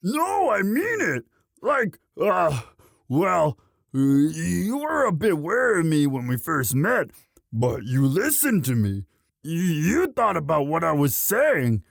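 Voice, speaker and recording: A man playing a young orc, a gentle himbo sweetheart who talks in a halting, stammering, bashful and earnest way in a romantic roleplay audio. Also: a little high, huge pitch swings, creaky, dry recording, studio quality, exaggerated voice. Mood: tender, earnest.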